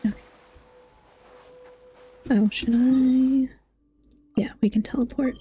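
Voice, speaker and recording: A woman's voice: high voice, steady tone, slow pace, mellow, husky. A sleepy-sounding woman chatting casually on a game stream, rambling and thinking aloud. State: sleepy, yawning. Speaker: live streamer.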